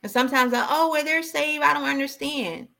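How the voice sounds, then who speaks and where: A woman's voice: high-pitched, a little fast, gravelly, bright, forceful tone. A woman giving an impassioned, informal sermon as a preacher, exhorting emphatically and repeating key phrases.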